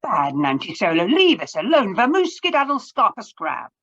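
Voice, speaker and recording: A woman's voice: mid-range voice, wildly swooping pitch, normal speed, fairly bright tone, dull audio, exaggerated voice. A woman doing scolding, blustery grown-ups. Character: stern disapproving townsfolk grown-ups.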